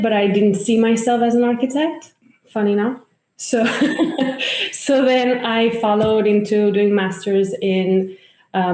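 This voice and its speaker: A woman's voice: medium pitch, a little mellow. A woman from Mallorca, a guest on a podcast interview, telling her story reflectively with laughs. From Mallorca, Spain. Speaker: guest, home builder. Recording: echoey room.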